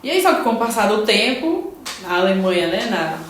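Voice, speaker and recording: A woman's voice: medium pitch, sing-song, a little fast. A woman from Brazil, a teacher, speaking casually in a podcast conversation, often restarting and adding tag questions. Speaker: Portuguese heritage-language teacher in Germany. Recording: very echoey room, faint noise.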